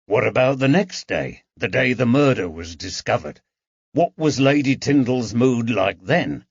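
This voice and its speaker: Man reading a kindly constable, soft coaxing questions, animated pitch, dry dull sound. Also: unhurried, breathy edge, no echo. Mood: gentle. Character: gentle, patient male police constable. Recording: dull, dry audiobook recording.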